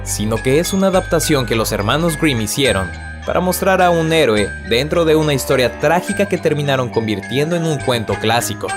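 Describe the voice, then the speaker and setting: Expressive male narrator over music. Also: on the high side, a little fast. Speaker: video narrator. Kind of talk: scripted storytelling narration. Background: background music.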